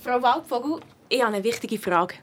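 A woman playing a clever, eager schoolgirl detective with a Swiss accent, telling her case in a lively, colloquial way in a children's radio play. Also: fairly high pitch, moderate pace, a little hiss or hum, lightly acted. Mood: determined.

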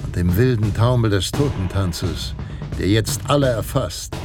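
An actor playing a solemn male narrator of a Western tale, telling it in a measured, dramatic radio-play style. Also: fairly low pitch, natural voice. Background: guitar music.

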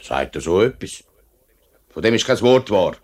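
A man's voice: low-pitched, big pitch swings, normal speed, a little mellow. A man playing an earnest, respectful middle-aged union workman with a Swiss German accent in a 1950s radio play. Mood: indignant.

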